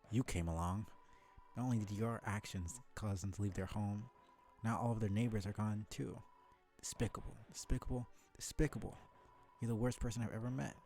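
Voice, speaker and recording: An indignant video game ghost stuck inside a dummy, acted out by a man. The voice is gravelly and mellow-toned, ranting with wounded, melodramatic fury. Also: on the low side, close to an everyday voice. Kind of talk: video game character read-aloud.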